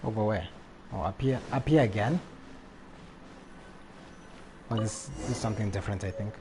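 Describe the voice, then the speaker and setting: A man live streaming a video game, reading text aloud and commenting casually in a halting way. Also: on the low side, over music. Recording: faint background noise, game ambience.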